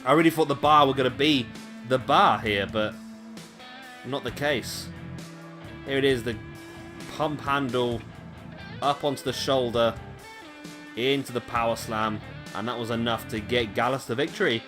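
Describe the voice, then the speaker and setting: Man, gaming commentator, hoarse, rambling chatty play-by-play, mid pitch, music bed. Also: fairly even intonation, normal speed, long pauses. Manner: chatty, rambling asides to viewers. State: voice going hoarse. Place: home room, late at night.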